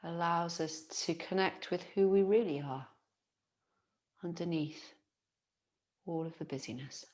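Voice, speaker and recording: A woman, teaching yoga nidra as a guided relaxation in a soothing, gentle manner with long pauses. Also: lowish voice.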